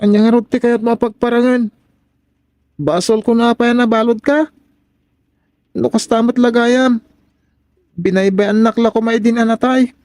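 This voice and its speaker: An actor as hurt, indignant young son. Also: male voice, very high-pitched, steady tone, moderate pace, long pauses, a little mellow, dry recording, clean studio sound. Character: hurt, indignant adopted son confronting his parents. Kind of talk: radio drama acting.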